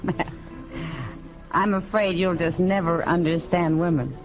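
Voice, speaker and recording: A woman's voice: fairly low pitch, slow. An actress playing a knowing, teasing frontier woman in an old radio Western, wry and familiar in manner. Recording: dull vintage radio recording with music.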